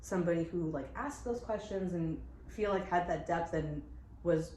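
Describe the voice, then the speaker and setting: A young woman co-hosting a podcast, chatting casually with a friend, animated and full of fillers and laughter. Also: fairly low pitch, normal speed. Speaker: podcast co-host. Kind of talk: casual chat between friends. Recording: roomy with faint noise.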